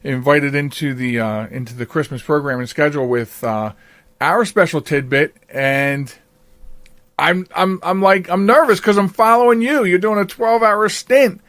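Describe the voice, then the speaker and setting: Guy podcast host, higher voice, casual and chatty, really dry sound. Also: moderate pace, a bit bright, no echo. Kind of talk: casual radio-style interview chat.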